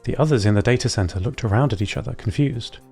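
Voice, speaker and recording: A man voices an observant robot storyteller in scripted audio fiction. The delivery is precise, literal and earnest at a middle male pitch, with music underneath. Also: quick pace, gravelly, darkish tone, slightly rough. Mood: measured, observant. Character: robot narrator recounting human behaviour, observant and earnest.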